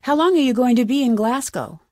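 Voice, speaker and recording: A woman's voice: high voice, animated, a little fast, mellow, no echo, studio quality. A woman playing a young American detective in a video game, inquisitive, determined and guarded, speaking in a direct, conversational way. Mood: polite, curious. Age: young adult. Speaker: video game lead character. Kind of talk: scripted game dialogue.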